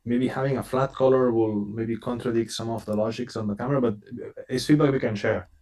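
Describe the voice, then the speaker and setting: A man's voice: medium pitch, steady tone, fairly quick, a little mellow. A man who is not a native English speaker, appearing as a company representative on a live stream over a video call and talking in an earnest, repetitive way. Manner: earnest, repeats words while speaking. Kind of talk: casual live stream chat.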